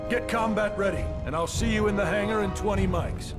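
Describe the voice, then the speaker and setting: A gruff marine captain played by a man gives orders with blunt, commanding authority in a video game. The voice sits a little high for a man, and music plays clearly underneath. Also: fairly quick, close to an everyday voice. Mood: gruff, businesslike. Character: gruff, foul-mouthed male marine captain commanding troops. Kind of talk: military briefing and rallying speech in video game.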